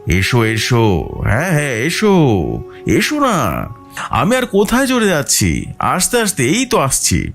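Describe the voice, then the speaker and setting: A man's voice: fairly high pitch, huge pitch swings, a little slow, pauses often, a bit bright, pitched above the performer's own voice, heavily acted. A man plays a luring male spirit posing as a friendly relative in a horror tale. The voice is lifted and swinging, insistently coaxing, repeating its invitations. Music plays behind it. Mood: beckoning.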